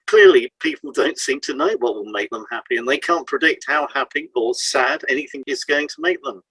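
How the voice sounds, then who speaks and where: A man's voice: mid-range voice, brisk, bright, forceful tone, husky, dry recording, low-quality recording. A man co-hosting an educational podcast, reading from a prepared script. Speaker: podcast co-host, hypnotherapist.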